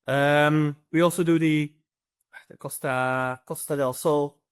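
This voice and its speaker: A middle-aged man from Belgium speaks with a Flemish accent, Dutch being his first language. His voice sits a little higher than most men's. He answers questions in a loose, halting way, full of fillers and restarted phrases. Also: steady tone, clean tone. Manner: hesitant, many ums and restarts. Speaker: real estate agency CEO. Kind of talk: casual interview answers.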